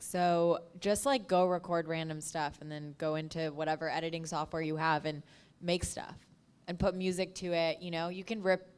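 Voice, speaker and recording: A woman speaking on a panel as a podcast producer, giving practical advice in measured, emphatic phrases. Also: lowish voice, smooth. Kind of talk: panel talk, advice to an audience.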